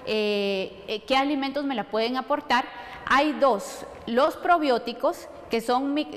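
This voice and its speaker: A middle-aged woman explaining things as a nutrition expert answering questions. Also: fairly high pitch. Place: studio set. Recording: long hall reverb.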